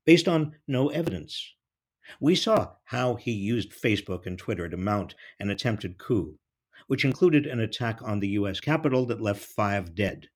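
Husky man, emphatic and widely varied intonation. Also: on the low side. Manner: emphatic, deliberate phrasing. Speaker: political commentator. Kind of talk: scripted political commentary addressed to listeners.

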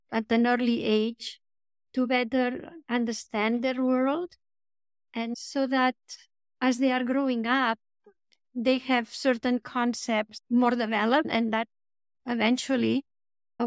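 Gravelly woman. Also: fairly high pitch, steady tone, slow pace, no room sound. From Spain. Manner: hesitant, restarts often, light laughs. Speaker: children's book author, guest. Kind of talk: podcast interview.

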